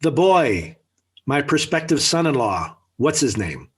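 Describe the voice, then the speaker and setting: A man's voice: mid-range voice, big pitch swings, measured pace, breathy edge, exaggerated voice. An actor playing an exasperated middle-aged American family father in a 1940s radio sitcom, with formal, wordy, dryly sarcastic delivery. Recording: vintage 1940s radio recording.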